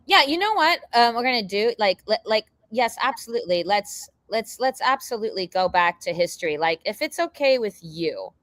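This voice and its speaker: Bright-voiced woman hosting, rambling. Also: medium pitch, big pitch swings, normal speed, hardly any pauses, no echo. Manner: rambling, filler-heavy, many likes. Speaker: interviewer host. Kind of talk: casual interview chat.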